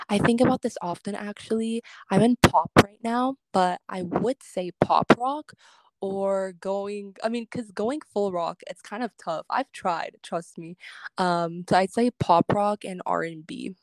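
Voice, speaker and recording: A chatty woman answering interview questions about her music, loose and rambling, full of fillers and restarts. Her voice sits at an ordinary pitch. The recording is dry, with no room sound. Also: a little slow, darkish tone, no echo, rough recording. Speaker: guest singer and social media creator.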